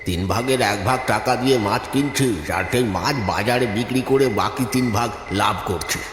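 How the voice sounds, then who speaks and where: A man voicing a gruff, brusque older village uncle who scolds in drawn-out colloquial talk for a cartoon. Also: lowish voice, a little hiss or hum, voice pushed down, natural voice. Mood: gruff, reassuring. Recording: echoey reverberant studio with music behind.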